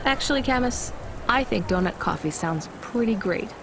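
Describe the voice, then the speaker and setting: A woman's voice: on the high side, animated, moderate pace, background noise. A woman playing a young courier driver in a video game, with a dry, skeptical, understated delivery. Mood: awkward. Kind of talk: naturalistic scripted game acting.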